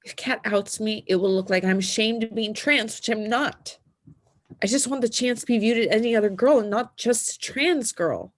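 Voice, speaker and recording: A woman playing an anxious, frustrated transgender teenage snowboarder in a screenplay table read, with a husky voice. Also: on the high side, normal speed, natural voice.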